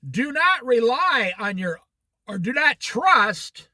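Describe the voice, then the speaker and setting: A man teaching a casual Bible study in a very bright, high voice that swings widely in pitch. He talks slowly and challenges his listeners with pointed questions, with a dry close sound. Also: no echo. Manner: animated, challenging rhetorical questions; jokey asides. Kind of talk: informal Bible study talk.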